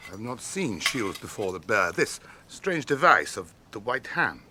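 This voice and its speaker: An actor playing a noble, grieving ranger leader in a radio drama, speaking in a grave, measured, theatrical way. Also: male voice, lowish voice, sing-song, noisy background. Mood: grave, resolute. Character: noble, grieving male ranger leader, weary and self-blaming.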